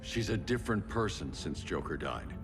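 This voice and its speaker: An actor playing a brooding, commanding billionaire vigilante in a video game, terse and grave. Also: male voice, low-pitched, expressive, moderate pace, in a large hall, over music. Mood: grave, businesslike. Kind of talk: video game cutscene acting.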